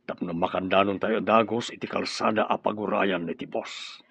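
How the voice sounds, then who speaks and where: A man's voice: lowish voice, steady tone, moderate pace, gravelly, fairly bright tone, husky, dry recording, heavily acted. A man acting a cautious schemer in an Ilocano radio drama, earnest and insistent, with an Ilocano accent. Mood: cautious, urgent. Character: cautious, scheming man planning a disguised getaway.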